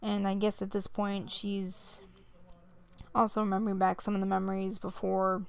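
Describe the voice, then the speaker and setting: A woman hosting a let's play, reading visual novel text aloud in a measured way with casual asides. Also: medium pitch, completely flat delivery, vocal fry, clear, resonant tone. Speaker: host of a gaming video series.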